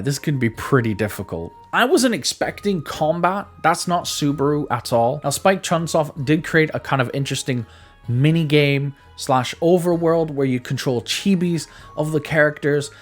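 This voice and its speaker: Male game reviewer, slightly high voice, informal scripted narration, casual asides, faint music behind. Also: expressive, normal speed. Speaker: video game reviewer and channel host.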